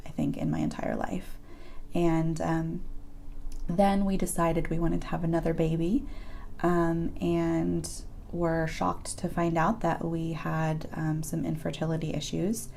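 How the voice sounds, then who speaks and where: A woman in her thirties with a hoarse voice, chatting casually to her viewers as she answers their questions, with frequent ums. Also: low-pitched, a little mellow. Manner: chatty, many ums, open about personal experiences. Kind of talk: casual chat to camera.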